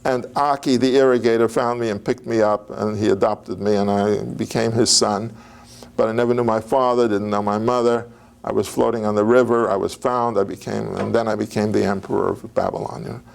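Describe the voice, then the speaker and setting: Gravelly older man lecturing. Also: on the low side, level tone, fairly quick. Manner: digressive, conversational, with many fillers and restarts. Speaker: lecturer on biblical history. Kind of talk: informal lecture.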